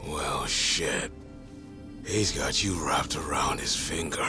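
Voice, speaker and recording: Gangster rival, extremely deep voice, slow, husky half-whisper, music underneath. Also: male voice. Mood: mocking. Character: gravelly gangster rival, cocky and taunting. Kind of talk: video game cutscene dub. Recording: game audio with music behind.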